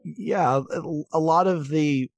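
A man's voice: fairly high pitch, slow, gravelly, dry recording, lo-fi sound. Man chatting, close dry sound. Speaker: podcast co-host or guest. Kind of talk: casual discussion.

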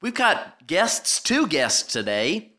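A man's voice: on the high side, huge pitch swings, slow pace, sharp tone. A man hosting an improvised comedy podcast, talking in an upbeat interview-show manner.

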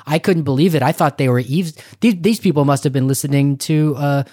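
A man co-hosting a podcast, chatting casually in an excitable, effusive way. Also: fairly high pitch, a little fast, no room sound, studio quality. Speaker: podcast co-host. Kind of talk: casual enthusiastic podcast chat.

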